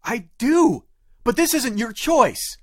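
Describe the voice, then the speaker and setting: Audio drama boyfriend, thirties, played by a man: wounded, defensive, very animated pitch, dry close recording. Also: takes it slowly, no echo, exaggerated voice. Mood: angry, accusing. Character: indignant, wounded 35-year-old boyfriend on the phone.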